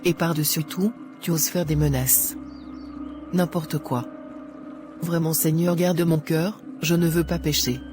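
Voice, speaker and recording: A man's voice: fairly high pitch, fairly even intonation, a little slow, natural voice. Man as fretful cartoon mother, music behind. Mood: indignant. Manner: fretful, indignant.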